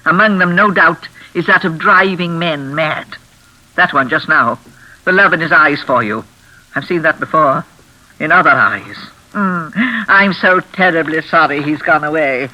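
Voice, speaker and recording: An actress playing a deranged older mistress of a lonely house in a 1940s radio thriller, with genteel, deliberate menace. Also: female voice, low-pitched, expressive, bright, forceful tone, exaggerated voice. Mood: menacing. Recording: crackly 1940s radio broadcast.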